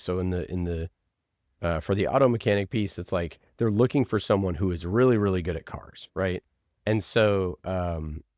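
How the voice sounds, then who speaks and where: Deep-voiced man explaining things informally. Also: darkish tone, dry recording, studio quality. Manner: informal, halting, thinks aloud with examples. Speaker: interview guest, podcast producer. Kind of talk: conversational explaining.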